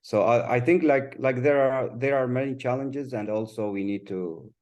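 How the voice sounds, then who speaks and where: A man speaking English as a second language, Kurdish his first, on a panel, talking thoughtfully with many pauses and restarts. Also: medium pitch, a little mellow. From Kurdistan region of Iraq. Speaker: panelist, artist and refugee. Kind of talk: panel discussion.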